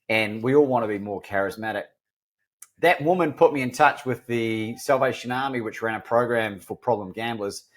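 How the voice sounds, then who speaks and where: A middle-aged man with a mixed New Zealand and Australian accent, telling his life story as a podcast guest in an earnest, self-deprecating way with frequent restarts. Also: fairly low pitch. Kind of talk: candid storytelling interview.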